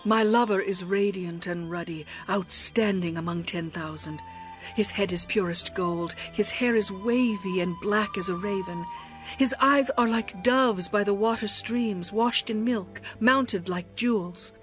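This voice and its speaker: A woman plays a passionate young bride full of longing in a scripture drama. Her voice is medium in pitch, with poetic, expressive phrasing. Faint music runs underneath. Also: measured pace, lightly acted. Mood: adoring. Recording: music behind the voice.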